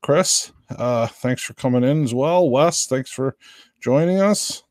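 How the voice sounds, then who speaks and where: A man's voice: medium pitch, measured pace, a little mellow, no echo, studio quality. A man hosting a live stream, chatting casually with viewers in a hesitant, unpolished way, often trailing off. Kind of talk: casual rambling chat with viewers.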